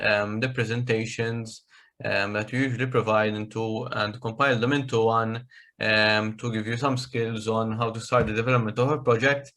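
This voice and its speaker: A man's voice: medium pitch, a bit bright. A man presenting an online workshop on project writing over a video call, hesitant with many fillers and restarts. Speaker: workshop trainer.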